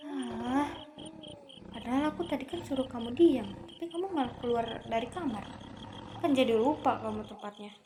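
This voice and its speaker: An aloof young vampire woman, voiced by a woman in an ASMR roleplay, calm and protective, talking about dark things as if they were nothing. The voice sits a little high and carries an Indonesian accent. A steady hiss and crickets run under the recording. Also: normal speed, natural voice.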